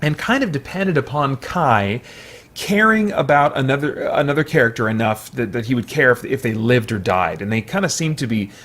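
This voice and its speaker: A man with a medium-pitched voice reviews a film in a casual, off-the-cuff way. He thinks out loud, rambling a little and often starting a phrase over before he settles on it. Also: animated, normal speed. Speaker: movie reviewer, video host.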